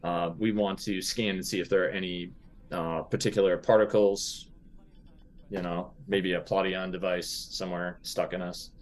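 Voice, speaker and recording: A man's voice: low voice, little pitch movement, normal speed. A man talking casually as a player in a tabletop role-playing game.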